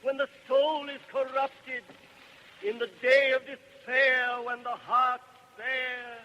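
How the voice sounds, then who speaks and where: An actor playing a stern prophetic orator who harangues a crowd with incantatory, declamatory delivery in an old radio verse drama. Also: male voice, very high voice, little pitch movement, lo-fi sound. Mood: solemn incantation. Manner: slow, ringing, incantatory, oratorical. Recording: noisy old radio recording.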